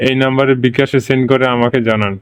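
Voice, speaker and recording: A man's voice: mid-range voice, fairly even intonation, quick pace, smooth, no echo, lightly acted. A man playing a polite scam caller who poses as a company agent, with a Bangladeshi accent and a formal, explanatory manner. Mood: patient, persuasive. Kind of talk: scripted prank phone call dialogue.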